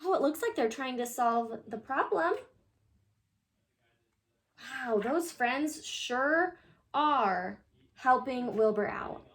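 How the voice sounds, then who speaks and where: A woman reading a children's picture book aloud in an animated, expressive way, addressing young listeners. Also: high voice, takes it slowly.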